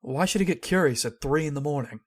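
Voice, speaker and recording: A man's voice: a little high, expressive, quick pace, breathy edge. An actor playing a wary, skeptical man who investigates deaths and narrates his own story in an old-time radio horror drama. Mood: tense, resolute.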